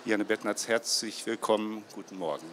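Ein Mann in den Fünfzigern moderiert ein Buchgespräch auf einer Messebühne vor Publikum, mit Handmikrofon, stockend und mit vielen Füllwörtern. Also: male voice, lowish voice, noisy background. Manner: halting, many fillers and restarts. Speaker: moderator, publisher's marketing head. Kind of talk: moderated book talk. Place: trade fair stage before a seated audience. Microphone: handheld mic.

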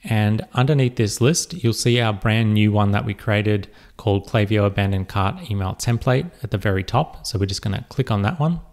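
A man giving a relaxed step-by-step software tutorial over a screen recording, with frequent fillers. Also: fairly low pitch, moderate pace, few pauses.

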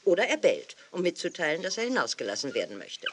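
A woman's voice: on the low side, huge pitch swings, fast, slight background noise. An actress playing a kindly, instructive woman dog breeder in a 1980s children's audio play, explaining things expressively.

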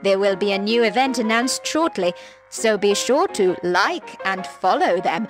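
Animated female old-time radio announcer over music. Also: medium pitch, a little slow. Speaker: advertisement announcer.

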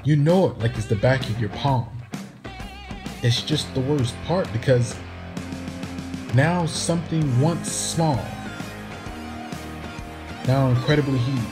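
A man's voice: medium pitch, slow, long pauses. Man performing spoken-word poetry over guitar music. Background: plucked guitar music.

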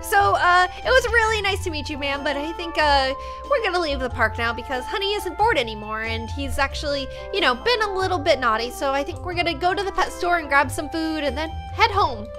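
A woman in her twenties hosting a gaming video, narrating a roleplay in an excitable, exaggerated way on a close mic. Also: high-pitched, brisk, few pauses, music in the background. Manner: expressive, exaggerated. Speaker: gaming YouTuber, host. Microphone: close studio mic on boom arm.

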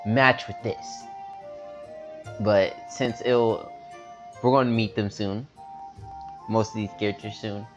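A man casually reading a story game's text aloud and chatting, stumbling over longer words, with soft game music behind him. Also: fairly low pitch. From America. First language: not English. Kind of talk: casual let's-play reading and chat.

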